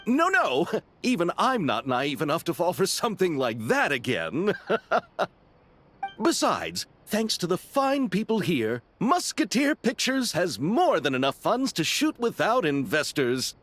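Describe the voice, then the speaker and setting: A man's voice: sits high, wildly swooping pitch, unhurried, fairly bright tone, exaggerated voice. A man voicing a flamboyant, dreamy film producer in a game, speaking in theatrical, florid phrases. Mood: sheepish. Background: music.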